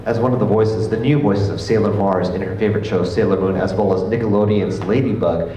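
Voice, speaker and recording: A man moderating a convention panel, loud and playful, who says he has a very big voice. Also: on the low side, brisk, background noise. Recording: very echoey hall, distant mic, crowd behind.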